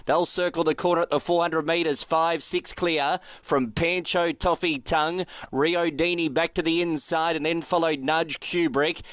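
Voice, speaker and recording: A man's voice: a little high, moderate pace, hardly any pauses, dry recording. Australian man calling a trial, dry sound. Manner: steady, descriptive calling. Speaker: trial race caller. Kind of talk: measured race commentary.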